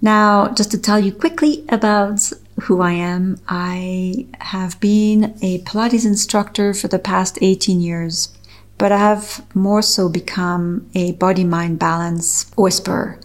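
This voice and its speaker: A middle-aged woman with a French accent, a French native speaker from Switzerland, reading her own personal essay aloud in a slow, measured, earnest way. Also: mid-range voice, fairly even intonation. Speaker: author reading her article.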